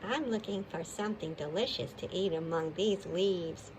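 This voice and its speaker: A woman's voice: on the low side, normal speed, background noise, close to an everyday voice. A woman voicing a busy, polite hedgehog with a matter-of-fact manner in a children's storybook. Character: busy, polite hedgehog foraging among leaves.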